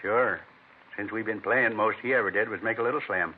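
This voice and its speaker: An actor playing a rambling, earnest middle-aged American neighbor in an old radio crime drama, plain-spoken and folksy. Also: male voice, on the low side, fairly quick, husky. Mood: proud, matter-of-fact. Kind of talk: 1950s radio acting. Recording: thin, narrow-band vintage radio recording.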